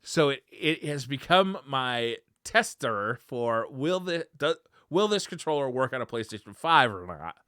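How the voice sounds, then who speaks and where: A man's voice: medium pitch, big pitch swings, measured pace, pushed and bright, clear, resonant tone, no room sound, clean studio sound. A man co-hosting a casual retro gaming podcast, talking in a conversational way. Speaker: podcast co-host.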